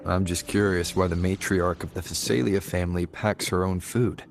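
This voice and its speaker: Game adventurer: young male, very deep register, calm, tactful, inquisitive, music behind. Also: normal speed, creaky. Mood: calm, curious. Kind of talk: scripted video game dialogue.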